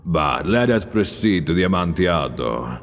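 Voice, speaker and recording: Man playing a deep-voiced, falsely courteous murderous gentleman. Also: animated, long reverb tail, faint music behind. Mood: sly. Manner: measured, mock-polite. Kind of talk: gothic audiobook character acting.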